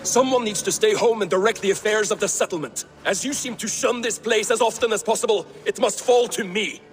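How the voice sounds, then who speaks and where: An actor playing a resentful Viking warrior in a video game, sarcastic, bitter and defiant. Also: male voice, high-pitched, fairly quick, soft background music, natural voice. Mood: scornful. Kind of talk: video game cutscene acting.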